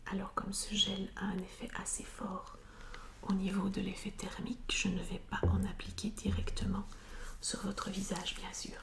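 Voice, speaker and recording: A woman speaks in a steady, breathy whisper with very little melodic movement. She plays a soothing chiropractor in an ASMR roleplay, explaining each step gently with small hesitations. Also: mid-range voice, moderate pace.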